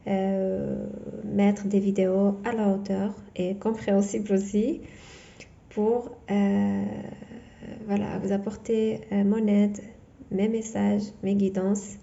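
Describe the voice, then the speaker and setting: A woman whose first language is not French, giving a tarot reading in a halting way full of fillers and restarts. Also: medium pitch, flat delivery, gravelly, warm tone, dull audio. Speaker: tarot reader.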